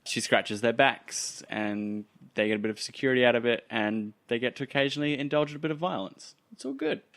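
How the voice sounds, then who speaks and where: A man's voice: mid-range voice, expressive, fairly quick, vocal fry, fairly bright tone, no room sound. A man narrating a tabletop roleplay game as game master, descriptive and wry.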